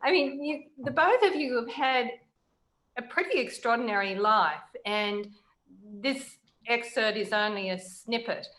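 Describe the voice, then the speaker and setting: A woman interviewing in a conversational way, reflective and pausing to choose her words. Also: a little high, a bit bright, poor audio. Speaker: interviewer, writer.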